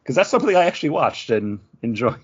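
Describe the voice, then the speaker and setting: A man's voice: medium pitch, very animated, fast talker, husky. A man co-hosting a podcast, debating casually with friends. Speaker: podcast co-host. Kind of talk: casual chat, good-natured debate. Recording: dull lo-fi audio.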